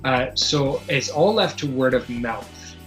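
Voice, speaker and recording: Halting man running a game, over music. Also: medium pitch, expressive, low-quality recording. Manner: halting, searching for words. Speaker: game master. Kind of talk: running a tabletop superhero game, improvised narration and rules explanation.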